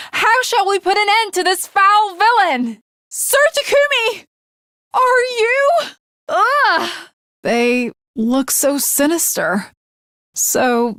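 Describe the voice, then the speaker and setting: A woman's voice: fairly high pitch, a bit bright, dry recording, studio quality. A woman playing an earnest, haughty young female samurai in an anime video game, with formal, archaic, melodramatic diction. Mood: panicked, distressed. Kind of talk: dramatic anime game character acting.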